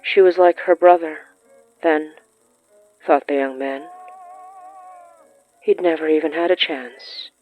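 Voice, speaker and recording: A narrator reading scripted period fiction in a measured, deliberate way with pauses. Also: mid-range voice, steady tone, phone-quality sound. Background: background music.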